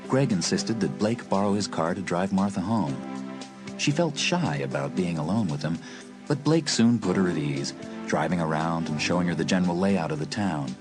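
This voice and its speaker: Deep-voiced male suspense narrator. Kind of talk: scripted suspense narration for dramatised audiobook. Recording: music under the voice.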